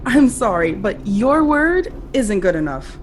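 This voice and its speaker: A woman's voice: medium pitch, big pitch swings, a little slow, slight background noise. An actress in an audio drama playing a hurt, guarded younger woman who is insistent with her lover. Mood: hurt, distrustful. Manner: indignant, insistent, emotionally guarded. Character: hurt, demanding younger woman in love with brother's best friend. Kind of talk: contemporary audio drama acting. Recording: music under the voice.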